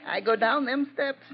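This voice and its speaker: An actor playing an odd, simple-minded night watchman with a stammering, repetitive, giggly delivery in an old radio drama. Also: very high-pitched. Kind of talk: 1940s radio drama acting. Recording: muffled, noisy old radio recording.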